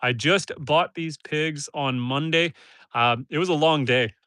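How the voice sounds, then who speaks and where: A man's voice: fairly high pitch, measured pace, pushed and bright, no echo, studio quality. A man from northern Canada, stuffy-nosed, chatting casually on a live stream from his homestead, talking to viewers and his animals. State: stuffy nose. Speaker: homesteader livestreaming. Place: outdoors in a forest pen.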